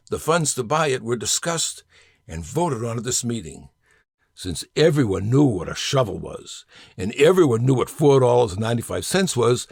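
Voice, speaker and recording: Older man reading aloud, lively pitch, very dry. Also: gravelly, no room sound. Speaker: essayist reading own work. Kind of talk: scripted personal essay reading.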